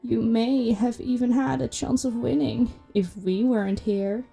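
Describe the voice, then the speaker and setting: A woman playing a haughty vampire rival in an audio drama, husky and creaky, with condescending drawl. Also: a little high, fairly even intonation, measured pace, mellow, soft background music, exaggerated voice. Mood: smug. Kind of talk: cinematic audio roleplay acting.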